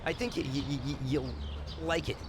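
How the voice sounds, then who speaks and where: A meek, friendly mechanic voiced by a man in a video game, with a highish voice. He stammers heavily, snagging on the starts of words. Clear background noise surrounds him. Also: steady tone, brisk, lightly acted. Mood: eager, halting. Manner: heavy stutter on first sounds. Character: timid, stammering, friendly mob garage mechanic. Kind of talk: scripted video game dialogue.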